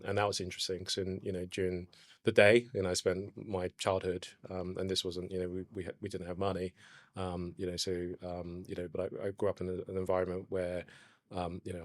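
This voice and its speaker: A man in his forties, born in Ghana, with a low, even delivery. He talks reflectively on a close studio mic and leans on "you know" and "um" between thoughts. The sound is dry, with no room around it. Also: no room sound. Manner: hesitant, many fillers and "you know". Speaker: brand co-founder, guest. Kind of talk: reflective casual conversation.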